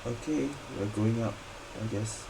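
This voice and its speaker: Very low-voiced woman gaming casually. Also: moderate pace, vocal fry, far from the mic, background noise. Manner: short offhand remarks. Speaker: gaming streamer. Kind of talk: casual playthrough commentary. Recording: loud game music behind voice.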